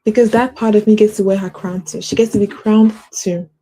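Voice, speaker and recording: A woman's voice: medium pitch, steady tone, a little fast, dark tone. A woman hosting a casual motivational talk, earnest and encouraging, with a stutter and many fillers like "like". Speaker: host.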